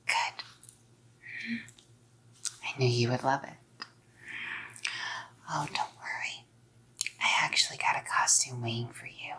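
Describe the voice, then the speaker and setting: A young woman playing an obsessive, menacingly sweet psycho girlfriend in a whispered ASMR roleplay, cooing and softly threatening. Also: rumbling low, fairly even intonation, slow pace, pauses often, exaggerated voice. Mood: sweetly excited. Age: young adult. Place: small dim room indoors. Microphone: close ASMR mic.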